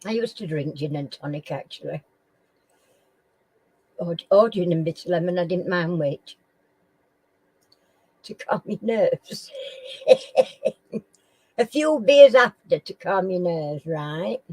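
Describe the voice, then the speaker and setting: Slow, homely Sheffield woman chatting. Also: lowish voice, lively intonation, a little mellow, low-quality recording. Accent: Sheffield English. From Sheffield, England. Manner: chatty, slow and homely, often clearing her throat. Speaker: live stream host. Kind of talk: casual live chat with viewers.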